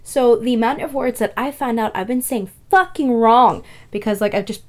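A woman's voice: fairly high pitch, moderate pace, a little mellow. A woman co-hosting a podcast in casual chat, reacting with short interjections and swearing freely. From born and raised where the show is made. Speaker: podcast co-host.

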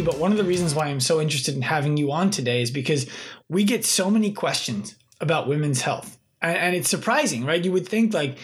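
A man's voice: on the high side, husky. A man hosting a podcast, talking emphatically and often checking in with "right?". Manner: emphatic, preachy, repeats "right?". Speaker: podcast host. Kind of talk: casual podcast chat.

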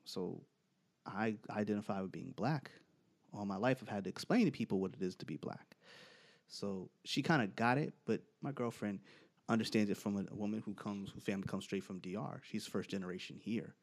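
A man's voice: fairly low pitch, brisk, breathy edge. A man hosting a podcast, talking in a laid-back, rambling way full of restarts. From United States. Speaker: podcast host. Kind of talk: casual debate chat.

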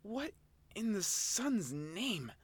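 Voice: medium pitch, very animated, very slow, husky, no echo, pitched above the performer's own voice, full character voice. A reader voicing an angry, boyish male otter creature who snarls and speaks with stiff formality.

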